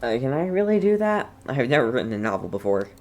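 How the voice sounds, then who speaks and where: A woman's voice: extremely low pitch, very animated, quick pace, a little mellow. A woman streaming a video game, giving casual, laid-back commentary and reacting aloud in brief remarks.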